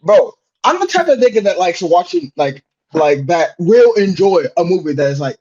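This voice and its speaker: Podcast guest, male, high pitch, profane and boisterous rant style, muffled lo-fi sound. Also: very animated, moderate pace, darkish tone, breathy edge. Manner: boisterous, heavily profane, slangy, emphatic repetition. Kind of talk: casual chat, ranting. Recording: dull lo-fi microphone.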